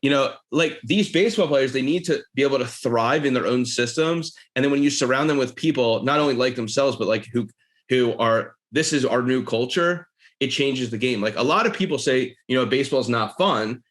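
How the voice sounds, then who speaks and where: A man from Philadelphia hosts a podcast in casual conversation. His voice sits at an ordinary mid pitch. He talks with earnest animation and often restarts his phrases. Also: a little fast, fairly bright tone. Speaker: podcast host.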